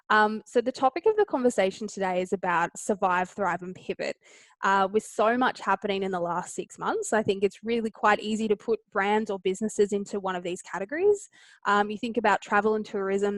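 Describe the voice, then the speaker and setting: An upbeat woman at an ordinary pitch hosts a webinar panel on a video call. The sound is close and dry, and her talk is full of "um" and "kind of". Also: no echo. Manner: upbeat, filler-heavy. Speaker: webinar host. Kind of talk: moderating a panel.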